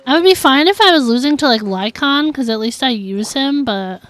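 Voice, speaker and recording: A woman's voice: high-pitched, expressive, moderate pace, a bit bright, soft background music. A woman chatting casually and informally while streaming a video game, reacting to viewers as she plays. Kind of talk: casual livestream chat while gaming.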